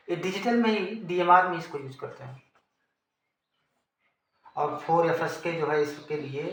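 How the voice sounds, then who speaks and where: A man's voice: on the high side, creaky, a bit bright. A man with an Indian accent giving an informal technical lesson, explaining and repeating key terms. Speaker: teacher. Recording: echoey room, background noise.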